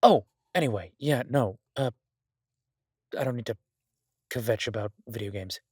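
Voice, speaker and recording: A man performs a charming male crime boss in an intimate audio roleplay, recorded very dry with no room sound. His voice sits at a middle pitch as he rambles casually, pausing and hesitating, slipping between self-mockery and playful boasting. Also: darkish tone, husky, no echo. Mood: sheepish, mock-indignant. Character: charming wry male mafia boss, restless in hiding.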